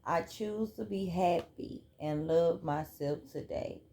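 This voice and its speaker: A woman chatting casually through a spiritual card reading at home, relaxed and talkative. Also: on the low side, slow, creaky. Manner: relaxed, chatty, reads cards aloud. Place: home room.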